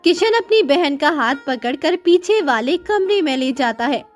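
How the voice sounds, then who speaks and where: A woman's voice: high voice, animated, normal speed, faint music behind. A woman narrating a scripted moral story.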